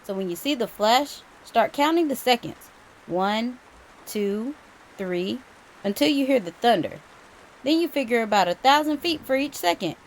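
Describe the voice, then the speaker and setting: A woman's voice: fairly high pitch, expressive, normal speed, a little hiss or hum, rough recording. A woman voicing an easygoing, reassuring father bear in a storybook, explaining things matter-of-factly.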